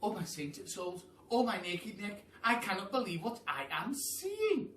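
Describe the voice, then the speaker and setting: A man voicing a timid, polite female giraffe in a storybook, halting and apologetic. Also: high voice, big pitch swings, fairly bright tone, reverberant, distant mic, slight background noise, voice pushed up, heavily acted. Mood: overjoyed.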